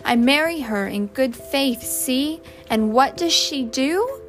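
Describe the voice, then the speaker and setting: A woman's voice: on the high side, lively intonation, a little slow, faint music behind. A woman voicing an indignant, blustering working-class husband in an audiobook story, with slangy, emphatic speech. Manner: uneducated slangy speech, punctuates with "see?".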